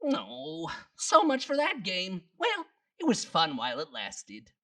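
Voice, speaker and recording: A woman's voice: on the high side, huge pitch swings, takes it slowly, gravelly, sharp tone, distant mic, pitched above the performer's own voice, exaggerated voice. A woman voicing a mischievous, easily spooked young shepherd boy in a bedtime storybook, hesitant and theatrical. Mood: sheepish, nonchalant.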